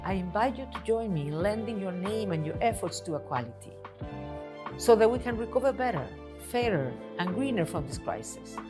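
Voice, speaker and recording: A woman giving a formal, prepared address in an earnest, rhetorical manner. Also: mid-range voice. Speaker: speaker in a video message. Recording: speech over clear music bed.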